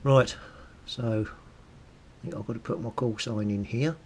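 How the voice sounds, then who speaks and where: A man's voice: unhurried, creaky. A British man giving a casual how-to commentary, thinking aloud and muttering informally to himself as he works. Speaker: hobbyist video host. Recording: steady background noise with low rumble.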